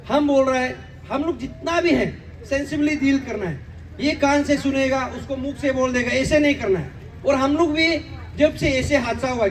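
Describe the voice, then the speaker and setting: High-pitched Arunachali man addressing a rally. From Arunachal Pradesh, India. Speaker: activist speaker at a protest rally. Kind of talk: public address at a rally, Hindi mixed with English. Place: public rally venue, voice picked up from afar. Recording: distant, noisy public address recording.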